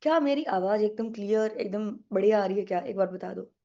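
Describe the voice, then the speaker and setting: A woman's voice: medium pitch, brisk, creaky, mellow, dull audio. A young woman teaching an online exam-prep English class with a sore throat, chatty and coaxing, mixing English into her Hindi.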